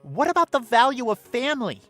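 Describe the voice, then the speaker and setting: A man's voice: very high voice, sing-song, brisk, a bit bright, soft background music, exaggerated voice. A young man playing a dutiful, lonely teenage son in a fan audio drama, polite and earnest. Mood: hurt.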